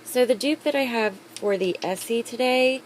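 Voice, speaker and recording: A woman's voice: on the high side, moderate pace, a little hiss or hum. Chatty upbeat woman reviewing products. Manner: chatty, upbeat, rambling with fillers. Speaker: beauty vlogger.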